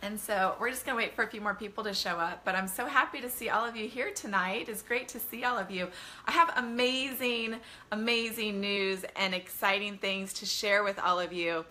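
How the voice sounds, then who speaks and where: A woman with a middling pitch and a clearly bright tone hosts an informal livestream. She talks as a children's author to her online followers, enthusiastic and effusive, in a loose conversational style. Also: moderate pace. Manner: gushing, enthusiastic, greets viewers by name. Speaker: children's author hosting a live bedtime reading. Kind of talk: casual livestream chat with viewers.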